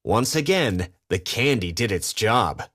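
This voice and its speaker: A man's voice: on the low side, big pitch swings, takes it slowly, a bit bright. A man reading a children's storybook as a scripted narration.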